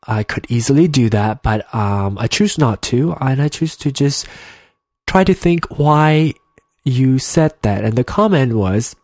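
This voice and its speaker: A man in his thirties hosts a solo podcast, his accent a mix of Malaysian and American English. He talks earnestly and hesitantly at a middle pitch, with many fillers and false starts. The sound is dry and close, with no room echo. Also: expressive, unhurried, a little mellow. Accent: Malaysian English mixed with American. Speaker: podcast host. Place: home room with a heater running.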